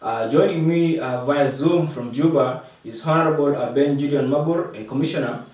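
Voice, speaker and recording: A man with a South Sudanese accent hosting a formal interview, speaking in a halting, measured way. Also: a little high, darkish tone. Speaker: host and producer. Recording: very echoey room, far from mic.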